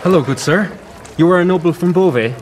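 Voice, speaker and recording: A man acts a gentle medieval brother collecting for the hungry. His voice is a little high, polite and earnest, with a pleading note, in radio drama style. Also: fast, background noise, lightly acted. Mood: polite appeal. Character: earnest, gentle charitable brother begging for the poor. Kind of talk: historical radio drama acting.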